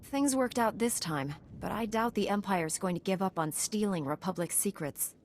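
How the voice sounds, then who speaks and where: A woman playing a calm, resolute knight in a video game, speaking in a composed, earnest and formal way. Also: medium pitch, moderate pace, slight background noise, natural voice. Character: calm, resolute female Jedi knight hero. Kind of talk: video game hero dialogue.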